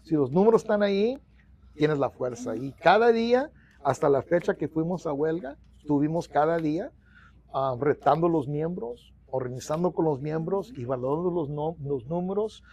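Gravelly Mexican-American man telling stories. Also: on the high side, moderate pace. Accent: US Spanish, Mexican-American. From United States. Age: middle-aged. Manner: mixes English into Spanish, many restarts, animated hand gestures. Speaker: union organizer guest. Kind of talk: storytelling interview. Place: small office studio. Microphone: lapel mic.

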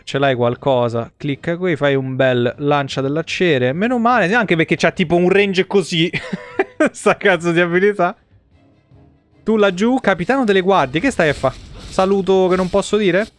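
A man chats through a game stream in a fairly high, clean voice. His manner is loose, sweary and joking, and he sounds close and dry on the mic. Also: no room sound. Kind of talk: casual live gameplay commentary. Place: indoor room, streaming setup.

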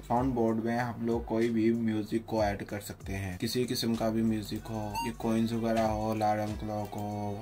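A man presenting a casual software tutorial, explaining step by step in an informal way and mixing in English tech words. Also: lowish voice.

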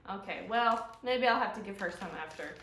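A woman in her thirties hosting a children's storytime, speaking in a gentle, sing-song, drawn-out way to young viewers. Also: mid-range voice, animated, slight background noise. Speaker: library storytime host. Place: living room, indoors, on a couch. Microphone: camera a few feet away. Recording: roomy echo from camera distance.

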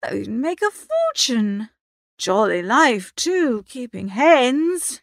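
A woman voicing a hapless, excitable young upper-class gentleman with slangy, emphatic talk in an audiobook. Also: on the high side, takes it slowly, creaky, no room sound, studio quality, exaggerated voice. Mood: excited scheming. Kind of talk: audiobook character reading.

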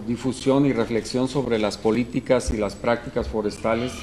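Mexican official, deliberate with fillers. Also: male voice, medium pitch, darkish tone, a little hiss or hum, poor audio. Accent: Mexican Spanish. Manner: deliberate, many fillers, lists items. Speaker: federal forestry official. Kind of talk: press conference statement. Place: indoor press room. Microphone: desk microphones.

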